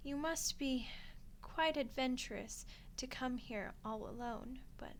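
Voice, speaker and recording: A woman's voice: sits high, takes it slowly, a little hiss or hum. A woman voicing a gracious, teasing centuries-old vampire queen in an ASMR roleplay, with courtly, coaxing phrasing. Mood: gracious, reassuring. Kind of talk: ASMR roleplay monologue addressed to the listener.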